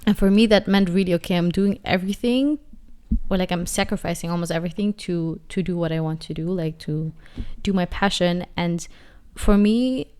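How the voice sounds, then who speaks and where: A woman in her mid-twenties answers interview questions in a relaxed, reflective way, often pausing and restarting. Her voice sits a little low and sounds smooth and clear. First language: not English. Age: 20s. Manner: reflective, many fillers and restarts. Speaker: dancer and guest. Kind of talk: casual interview.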